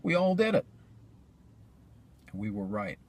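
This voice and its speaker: Male actor as earnest persuader, theatrical monologue, husky, animated intonation. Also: lowish voice, measured pace, pitched above the performer's own voice. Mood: earnest persuasion.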